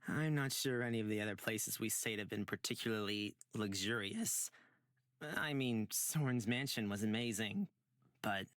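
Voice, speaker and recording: A man's voice: fairly low pitch, normal speed, a bit bright, no room sound, natural voice. A male actor playing a mild, considerate young adventurer in a visual novel game, polite and hesitant. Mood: mildly puzzled.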